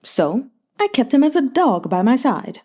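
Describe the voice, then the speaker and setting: A woman voicing a fat, cowardly, debauched old king who blusters and shouts in panic. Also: sits high, sing-song, moderate pace, pitched above the performer's own voice. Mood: boastful.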